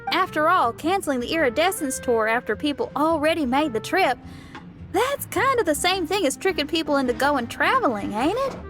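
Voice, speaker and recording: A woman's voice: high-pitched, very animated, normal speed, fairly bright tone. A woman playing a spirited young female musician in a video game, casual and frank, with music behind. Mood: wary. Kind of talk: video game character acting.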